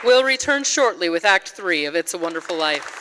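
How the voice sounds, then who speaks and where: A woman's voice: lowish voice, animated, brisk, crowd noise, close to an everyday voice. A woman as a solemn narrator, reading scripted 1940s-style radio drama narration. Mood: dramatic. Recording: live stage with clear background noise.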